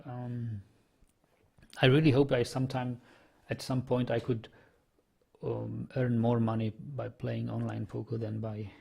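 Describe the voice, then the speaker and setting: Czech accented young guy streaming poker, gravelly and a bit husky, thinks out loud with lots of ums. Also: medium pitch, little pitch movement, unhurried. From Czech Republic. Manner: thinking aloud, hesitant with fillers and self-corrections. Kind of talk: casual commentary while playing and reading chat.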